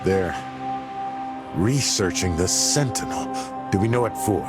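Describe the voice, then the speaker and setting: An actor playing a proud, embittered older warlord in a video game, gravelly, imperious and scornful. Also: male voice, sits low, expressive, over loud music. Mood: stern, suspicious. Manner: imperious, scornful, deliberate emphasis. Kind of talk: dramatic video game acting.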